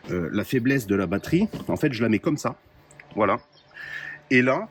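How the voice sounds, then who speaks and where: A man casually presenting his radio kit to viewers, talking in a relaxed way with many pauses and fillers. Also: medium pitch, a little hiss or hum. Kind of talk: casual hands-on gear demo.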